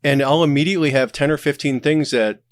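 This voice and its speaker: A man's voice: fairly high pitch, fast talker, no echo, studio quality. A man speaking as a podcast guest in casual, reflective conversation. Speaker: podcast guest, audio drama creator.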